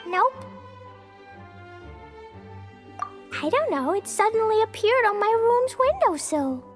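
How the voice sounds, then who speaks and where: A woman voicing a small, cute, earnest and excitable little girl in a video game, with a childlike, sometimes halting way of talking. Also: very high voice, faint music behind. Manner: childlike, refers to self in third person, halting over hard words. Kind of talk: video game character voice acting.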